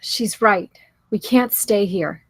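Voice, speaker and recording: A woman's voice: mid-range voice, takes it slowly, breathy edge, no room sound. A woman playing a resolute survivor in a table read of a thriller screenplay. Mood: tense, reasoning.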